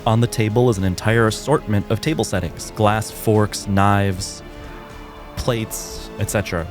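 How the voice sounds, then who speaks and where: A man narrating a tabletop game with a calm, descriptive delivery. His voice sits fairly low, with music playing behind. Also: a little slow. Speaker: game master.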